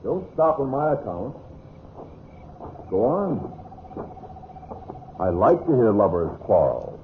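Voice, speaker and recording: A man's voice: mid-range voice, sing-song, slow pace. An actor playing a menacing, sarcastic husband, mocking his wife and her lover in old-time radio drama style. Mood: icy sarcasm. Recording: muffled, noisy vintage radio recording.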